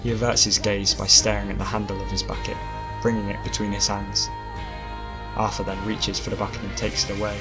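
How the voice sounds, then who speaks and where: Low-pitched male audiobook narrator. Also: monotone, brisk, music in the background. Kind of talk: scripted dramatic narration.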